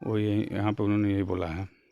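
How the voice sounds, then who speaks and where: A man's voice: lowish voice, flat delivery, brisk, creaky, no echo, muffled recording. A man giving an informal, colloquial explainer, mixing in English terms as he reads out and paraphrases a document. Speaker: job news YouTuber.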